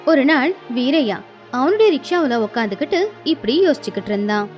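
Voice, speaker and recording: A woman's voice: sits high, expressive, a little fast, faint music behind. A woman reading scripted storybook narration as the narrator of a tale.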